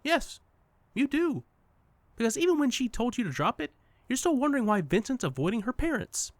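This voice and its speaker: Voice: medium pitch, very animated, a little fast, no room sound. An actor playing a smug, playful, unreal best friend in an audio drama, teasing and condescending in a sing-song way. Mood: mocking, coy. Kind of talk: audio fiction podcast acting.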